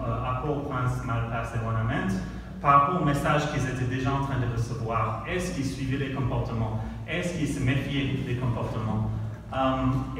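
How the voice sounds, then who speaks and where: A man whose first language is English gives a conference slide presentation as a country director, halting often with fillers while he searches for words. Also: medium pitch. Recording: echoey hall from far off, noisy.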